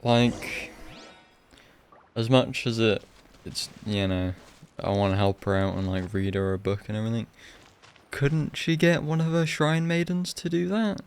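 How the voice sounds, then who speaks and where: A man streaming a game with casual live commentary, rambling, opinionated and quick to swear. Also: medium pitch, slight background noise.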